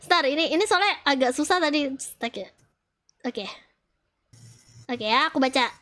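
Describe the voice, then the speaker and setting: Female virtual streamer, Indonesian accent, casual live chat, bright and very high. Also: lively intonation, a little fast.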